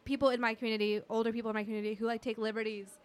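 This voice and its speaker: Woman, American accent, slightly high pitch, podcast host, casual chat, opinionated, blunt. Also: flat delivery, racing. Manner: blunt, swears freely.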